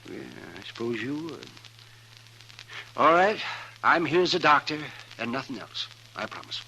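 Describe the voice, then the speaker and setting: An actor playing a testy, principled frontier doctor in a 1950s radio western, folksy and emphatic, with an American accent. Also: male voice, mid-range voice, animated, normal speed, pushed and bright, slightly rough, full character voice. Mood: grudging. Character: crusty, indignant, principled male frontier town doctor. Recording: noisy old radio recording.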